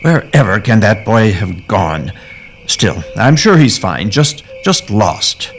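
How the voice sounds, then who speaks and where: A man playing a genial, reassuring Victorian gentleman in a period audio drama, with polite old-fashioned phrasing. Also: on the low side, big pitch swings, takes it slowly, slight background noise, heavily acted. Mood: reassuring, concerned.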